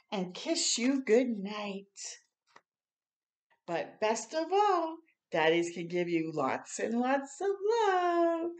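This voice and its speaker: Older lady doing a kids' read-aloud on webcam, kinda high voice, slow and sing-songy. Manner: slow, gentle and expressive, with reactions to the pictures. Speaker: storytime host. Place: small room indoors.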